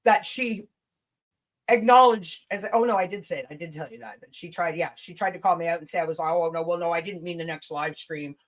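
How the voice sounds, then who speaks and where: A woman hosting a casual livestream rant, blunt, combative and sweary, with chatty asides to her viewers. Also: on the low side. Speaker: livestream host.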